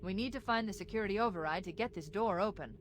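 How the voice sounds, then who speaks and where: A woman's voice: medium pitch, quick pace, slight background noise, close to an everyday voice. A woman playing a confident, analytical military artificial intelligence in a video game. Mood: focused, brisk.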